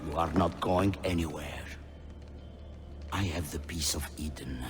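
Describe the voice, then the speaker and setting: An older actor playing an embittered, scornful patriarch in a video game, speaking formally and sarcastically. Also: male voice, extremely low pitch, fairly even intonation, a little slow. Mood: bitter. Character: scornful, embittered old patriarch rebuking his son. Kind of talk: dramatic game cutscene acting. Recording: long hall reverb under loud music.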